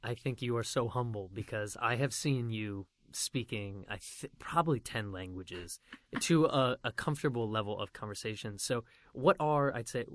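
A man's voice: medium pitch, normal speed, no echo, studio quality. A man hosting an interview, teasing his guest and praising him effusively. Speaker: podcast host. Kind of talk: casual interview.

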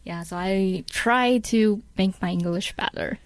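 Slow-paced Taiwanese-accented woman, interview guest. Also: mid-range voice, no room sound. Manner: halting non-native English. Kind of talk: casual interview chat.

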